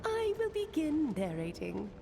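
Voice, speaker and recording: A woman's voice: high-pitched, wildly swooping pitch, unhurried, gravelly, full character voice. A woman playing a teasing, grandly confident sorceress aunt in an anime game, with a theatrical storytelling manner. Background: music.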